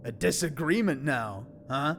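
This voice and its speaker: Cocky teen creature played by a man, hot-tempered, brash, higher-set voice with lively swings, audio drama. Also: unhurried, slight background noise. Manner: brash, sarcastic, swearing. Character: cocky, hot-tempered teenage boy, a clawed furry animal.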